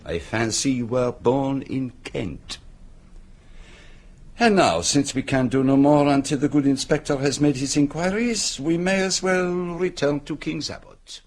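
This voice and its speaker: An actor playing a shrewd, fussy middle-aged detective in a radio play, speaking with polite, precise and faintly teasing care. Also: male voice, mid-range voice, measured pace, close to an everyday voice. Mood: calm probing. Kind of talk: radio drama acting.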